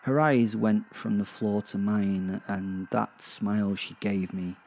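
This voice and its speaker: A man playing a sardonic, awkward werewolf who narrates in a halting, self-mocking way with theatrical emphasis, in a horror-comedy audio drama. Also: fairly low pitch, fairly even intonation, a little mellow, exaggerated voice. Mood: uneasy. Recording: clear background ambient noise.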